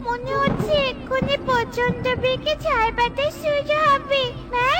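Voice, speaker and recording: An innocent little bird girl from a cartoon fairy tale, extremely high and childlike. She sounds earnest and plaintive, eager to be believed, with music clearly behind her. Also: fairly even intonation, a little slow. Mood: anxious.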